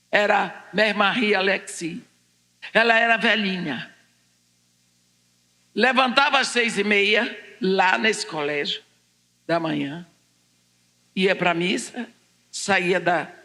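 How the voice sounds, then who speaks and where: An older Brazilian woman giving a personal testimony as a preacher, telling it deliberately and dramatically in short phrases. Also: mid-range voice, bright, forceful tone. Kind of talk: personal testimony told to a congregation. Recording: echoing large hall, long reverb.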